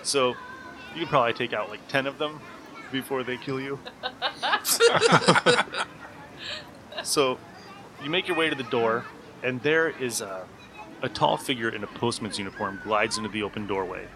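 A man's voice: medium pitch, big pitch swings, unhurried, pauses often, background noise. A man running a comic tabletop game as game master, narrating the scene for the players.

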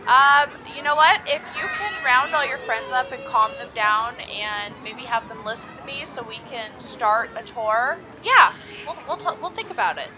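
A woman's voice: fairly high pitch, lively intonation, moderate pace, hardly any pauses, music in the background, rough recording. A woman playing a tabletop game, improvising casually in character.

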